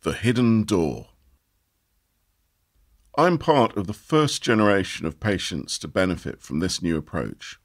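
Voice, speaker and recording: A man reading a first-person essay aloud as scripted narration, in an expressive, measured manner. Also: deep voice. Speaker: audio article reader.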